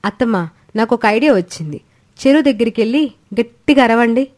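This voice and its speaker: A woman's voice: a little high, brisk, natural voice. A woman voicing a cheeky, scheming young daughter-in-law who talks in a teasing, glib way. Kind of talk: Telugu storybook voice acting.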